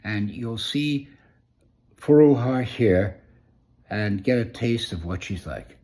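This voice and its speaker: A man who grew up in New York City, hosting a spoken newspaper review in a conversational way, often pausing with "uh" and restarting phrases. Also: lowish voice, expressive, warm tone. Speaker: host of a press review. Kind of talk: casual spoken press review.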